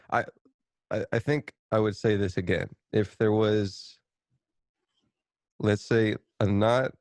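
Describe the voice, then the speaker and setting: A man's voice: fairly low pitch, darkish tone, no echo. A man co-hosting a podcast in casual chat, hesitant and rambling, repeating himself and swearing casually. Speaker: podcast co-host.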